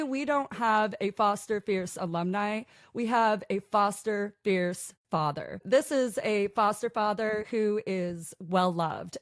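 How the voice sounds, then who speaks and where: A woman's voice: fairly high pitch, no echo, studio quality. A woman hosting a podcast interview, speaking in an upbeat, earnest way. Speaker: podcast host.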